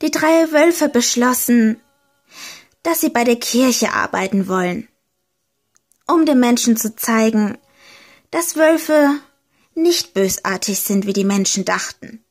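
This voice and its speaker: A woman reading a short homemade fairy tale aloud, slow and deliberate, leaning gently into key words. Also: fairly high pitch, lively intonation. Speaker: storyteller. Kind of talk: slow storybook narration.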